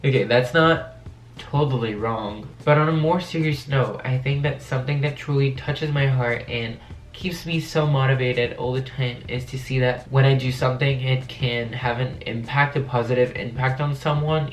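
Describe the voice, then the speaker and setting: A man's voice: mid-range voice, a little hiss or hum. Echoey young French man with allergy-affected voice. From France. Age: young adult. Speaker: video blogger. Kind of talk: casual Q&A chat to camera.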